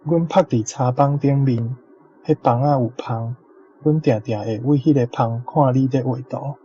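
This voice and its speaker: A man's voice: medium pitch, moderate pace, mellow, husky. A man with a Taiwanese accent carefully presenting translations as a teacher, in a dull lo-fi recording. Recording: dull lo-fi sound, faint noise.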